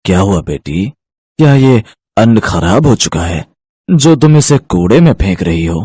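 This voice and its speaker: A man doing a deep, husky old holy man. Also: sing-song, measured pace, dry recording, clean studio sound, heavily acted. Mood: gently asking. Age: older. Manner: gentle, questioning. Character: old wandering holy man, gentle and fatherly.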